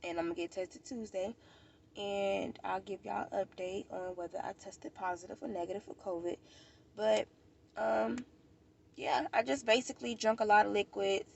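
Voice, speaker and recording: A woman's voice: mid-range voice, steady tone, moderate pace, creaky, a little mellow, highs cut off, slight background noise. An American woman vlogger with a stuffy nose, chatting casually and informally to the camera, rambling and repeating herself. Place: outdoors at a park.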